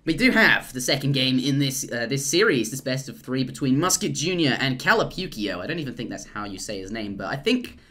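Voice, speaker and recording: A young man casting a live strategy game, rambling and jokey, and getting excited at big plays. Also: mid-range voice, fairly quick, few pauses. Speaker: esports caster.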